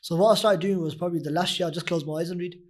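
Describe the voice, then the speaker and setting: A young man with a husky, deep voice, a nasheed reciter talking earnestly in a casual interview, full of "you know" fillers. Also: steady tone, rapid-fire. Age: young adult. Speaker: nasheed artist guest.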